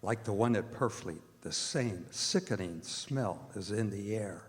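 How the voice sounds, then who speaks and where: A man's voice: fairly low pitch, a little slow, natural voice. A man playing a sober narrator and vampire hunter in an old-time radio drama, telling events with portentous, dramatic phrasing. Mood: grim narration. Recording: live theater stage, long hall reverb.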